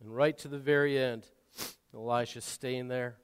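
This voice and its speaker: A man preaching a sermon, swinging between quiet asides and loud, impassioned exhortation, often repeating and restarting words. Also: mid-range voice, fairly even intonation, normal speed, clear, resonant tone. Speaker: pastor. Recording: long hall reverb.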